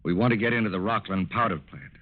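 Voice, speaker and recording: An actor playing a calm, businesslike FBI agent in a 1940s radio drama, terse, polite and purposeful. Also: male voice, lowish voice, fast, close to an everyday voice. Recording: tinny narrow-band old radio recording.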